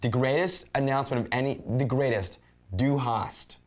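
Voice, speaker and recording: A man's voice: mid-range voice, fairly even intonation, normal speed. A man talking casually and enthusiastically as a video game reviewer on a panel show. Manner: enthusiastic, exclamatory.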